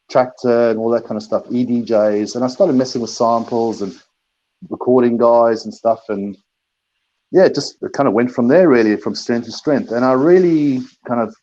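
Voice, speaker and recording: A man's voice: lowish voice, warm tone, lo-fi sound. A middle-aged man from South Africa, a DJ and producer, answering interview questions in a rambling way, repeating words and laughing often.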